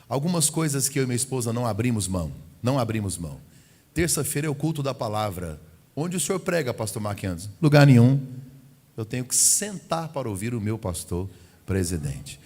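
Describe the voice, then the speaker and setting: A man's voice: medium pitch, sing-song, a little fast, a little mellow. Man preaching with long church reverb. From Goiás, Brazil. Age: 40s. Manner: chatty and humorous, acting out quoted dialogue. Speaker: visiting pastor. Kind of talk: family service sermon. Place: church sanctuary.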